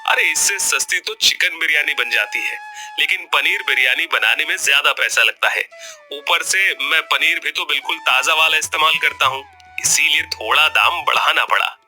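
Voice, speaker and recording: A greedy village street-food seller for a cartoon tale. The voice is very bright and forceful, a little high, with lively swings in pitch. He talks smoothly and emphatically, with music playing behind. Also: normal speed. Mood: defensive, placating. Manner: animated, emphatic, expressive delivery. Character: greedy, smooth-talking village biryani seller, married man. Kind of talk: Hindi cartoon story voice acting.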